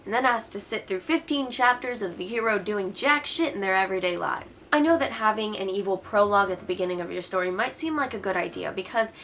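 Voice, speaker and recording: A woman's voice: medium pitch, animated, fast talker, few pauses, fairly bright tone, a little hiss or hum. A woman hosting a writing advice video, giving opinionated commentary in an informal, sarcastic way. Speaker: host of a writing advice channel.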